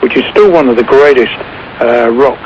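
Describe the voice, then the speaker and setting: A man giving casual music commentary as a radio guest, rambling and pausing mid-phrase. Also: mid-range voice. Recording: muffled shortwave radio with clear static. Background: shortwave static and noise.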